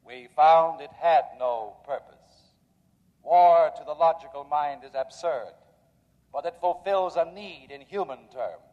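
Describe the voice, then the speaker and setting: An actor in an old radio drama playing a calm, logical robot caretaker, speaking in a measured, formal, unemotional way. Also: male voice, on the high side, lightly acted. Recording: muffled old radio recording, reverberant.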